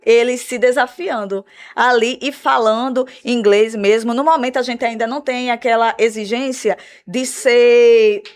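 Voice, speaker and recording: A woman with a Brazilian Portuguese accent, an English teacher explaining her school's program in a radio interview, measured and full of "né?" tags. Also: on the high side, steady tone. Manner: measured, explanatory, repeats words with "né?" fillers.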